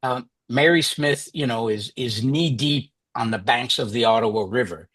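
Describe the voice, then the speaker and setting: A man leading a journalism writing workshop in a chatty, conversational way, full of fillers and quick "right?" checks. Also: medium pitch, a bit bright, breathy edge, no echo. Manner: chatty, many fillers and restarts, asks "right?".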